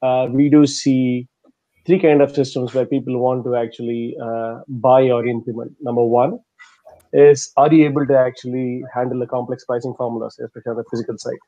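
Mellow man listing points methodically. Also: medium pitch, level tone, a little fast, muffled recording. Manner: structured, numbered points, many "uh"s. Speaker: panelist, software specialist. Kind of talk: webinar panel discussion.

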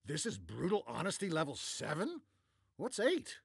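Smug older future man in a cartoon, theatrical n fed up, very up and down, bit of fry. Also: on the high side, huge pitch swings, normal speed, no room sound, studio quality, full character voice. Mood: defensive. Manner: theatrical, sardonic, easily exasperated. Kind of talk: animated comedy character acting.

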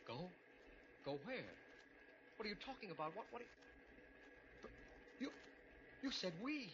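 A man's voice: high-pitched, sing-song, moderate pace, background noise. An actor playing a shaken radar scientist who narrates his ordeal in a somber, haunted manner in a 1950s-style radio drama. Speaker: narrator and lead character. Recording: dull old radio recording with music.

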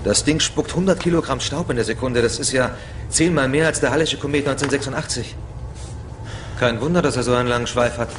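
An actor playing an astronomer tracking a comet in a dubbed docudrama, talking conversationally. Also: male voice, mid-range voice, quick pace, noisy background, lightly acted. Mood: amazed.

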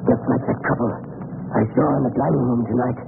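A man acting a creaky, desperate captive. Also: medium pitch, fairly even intonation, lightly acted. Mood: weary, frightened. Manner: pleading, broken, halting. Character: desperate, frightened captive cousin with a horrible borrowed voice. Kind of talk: 1930s radio drama acting. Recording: muffled noisy 1930s radio recording.

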